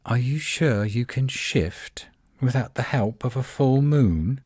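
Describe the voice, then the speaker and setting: A man playing a cocky, sardonic werewolf guardian in a roleplay audio, teasing and flirtatious, with a husky, drawling delivery. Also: medium pitch, darkish tone, no room sound, clean studio sound. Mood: wry, flirtatious. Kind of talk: roleplay audio acting, one side of a dialogue.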